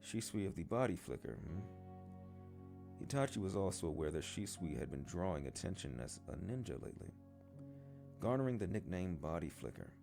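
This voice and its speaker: A man's voice: deep voice, very animated, a little fast, vocal fry. A man reading a light novel aloud in the style of an amateur audiobook. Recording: soft guitar music behind. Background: plucked guitar music.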